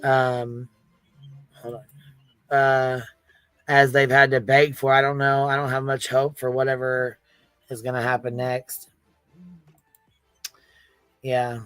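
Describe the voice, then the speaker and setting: A man's voice: medium pitch, completely flat delivery, slow, pauses often, a bit bright, smooth, no room sound, soft background music, rough recording. A man hosting a casual livestream panel, talking bluntly with some profanity.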